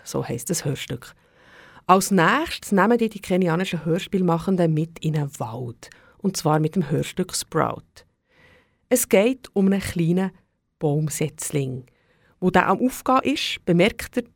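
A radio host, presenting a program in a relaxed, conversational way. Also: medium pitch, dry recording, clean studio sound.

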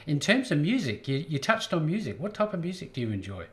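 A man's voice: a little high, sing-song, quick pace. A man hosting an interview show, asking questions with upbeat, encouraging interjections. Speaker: show host.

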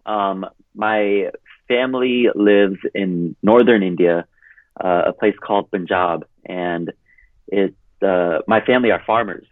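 Guy about thirty from california, rambles with lots of "like", kinda low voice, sounds like he's on a phone call. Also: slow, no room sound. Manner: rambling, heavy use of "like". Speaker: podcast guest, runner. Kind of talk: casual interview chat. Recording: tinny phone line.

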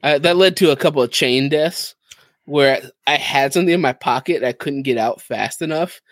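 A man's voice: a little high, normal speed, a bit bright, dry recording. A man who hosts a podcast, chatting casually about video games and often repeating his phrases. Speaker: podcast host.

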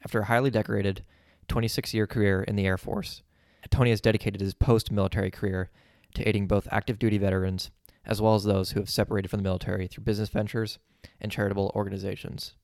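A man hosting a podcast, reading a measured introduction and asking interview questions. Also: on the low side, flat delivery, darkish tone, dry recording, clean studio sound. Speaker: podcast host.

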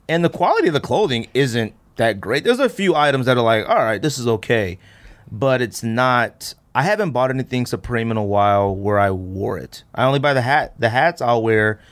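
A middle-aged American man hosting a podcast, talking casually in a conversational, slangy way. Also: mid-range voice. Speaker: podcast host. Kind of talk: casual chat.

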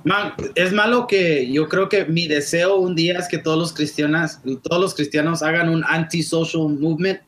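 A man's voice: a little high, fairly even intonation, moderate pace, few pauses, fairly bright tone, a little hiss or hum. A man talking casually in a panel chat, often dropping English words into his speech.

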